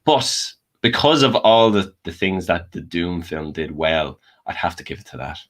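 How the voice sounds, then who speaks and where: A man's voice: sits low, expressive, moderate pace. A man around thirty giving rambling, hedged opinions as a guest in a casual panel chat.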